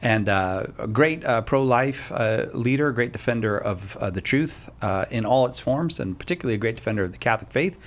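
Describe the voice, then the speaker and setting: A man's voice: mid-range voice, animated, a little fast, hardly any pauses. A man hosting a call-in radio show, chatty and jokey with frequent filler words. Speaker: radio host.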